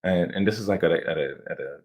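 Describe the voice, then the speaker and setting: A middle-aged man from Jamaica who grew up in New York talks as a guest on a podcast. His voice sits on the low side, and he rambles with eager restarts as he tells stories from his youth. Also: fast talker, a little mellow. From Jamaica, raised in New York. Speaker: playwright, guest. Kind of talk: casual interview storytelling.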